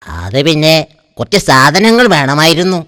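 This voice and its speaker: A man's voice: a little high, very animated, normal speed, bright, forceful tone, no room sound, studio quality. A man voicing a wheedling, sneaky freeloading customer in a cartoon. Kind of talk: cartoon voice acting.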